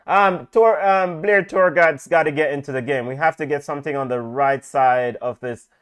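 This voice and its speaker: Higher-pitched man calling football. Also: moderate pace, smooth. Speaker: football watch-along commentator. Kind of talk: live football commentary with casual chat.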